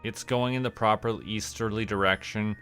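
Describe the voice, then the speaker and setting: Casting: male game streamer, lowish voice, casual think-aloud commentary, music underneath. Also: fairly even intonation, normal speed, fairly bright tone, clear, resonant tone. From Canada. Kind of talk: casual livestream gameplay commentary.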